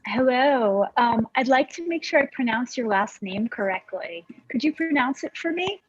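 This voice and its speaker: A woman's voice: on the high side, animated, moderate pace, slightly rough, dry recording. A woman moderating a webinar, chatting politely and reassuringly with speakers before it starts, heard over a video call. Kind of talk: casual pre-show chat on a video call. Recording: dull, dry video call audio.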